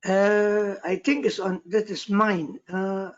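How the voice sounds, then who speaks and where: A woman's voice: mid-range voice, little pitch movement, slow. A woman participant talking casually on an online call while checking the technical setup. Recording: muffled, noisy online call with clicks.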